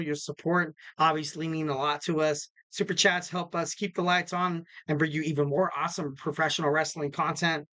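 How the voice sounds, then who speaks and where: A man with a bright, forceful, slightly raspy voice, a little higher than most men. He hosts a solo wrestling podcast, talking loosely and upbeat with lots of fillers. The sound is dry and close. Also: no room sound, poor audio. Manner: rambling, filler-heavy, upbeat promo talk. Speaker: podcast host. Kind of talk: casual solo wrestling preview.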